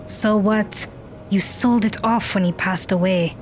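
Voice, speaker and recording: A woman's voice: mid-range voice, measured pace, soft background music, natural voice. A woman playing a guarded, blunt teenage daughter in an audio drama. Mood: sullen.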